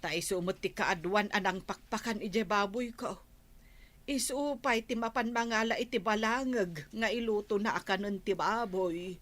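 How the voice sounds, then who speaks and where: A woman's voice: medium pitch, moderate pace, fairly bright tone, lightly acted. A woman with a Filipino Ilocano accent playing a rueful village wife cheated of her savings, sighing through a radio comedy drama. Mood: worried.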